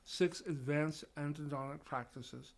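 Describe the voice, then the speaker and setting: A man's voice: fairly high pitch, unhurried, vocal fry. A man hosting a podcast in a conversational interview style. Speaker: podcast host.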